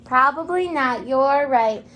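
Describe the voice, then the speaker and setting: A woman kindergarten teacher giving an interactive read-aloud, speaking expressively and encouragingly in simple phrases, with questions and pauses for children to answer. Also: sits high, fairly bright tone.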